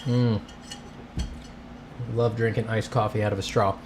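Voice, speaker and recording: A man's voice: fairly low pitch, little pitch movement, measured pace, slight background noise. A congested man hosting a casual livestream, rambling and greeting his chat between coughs. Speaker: livestream host, artist. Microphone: streaming setup mic.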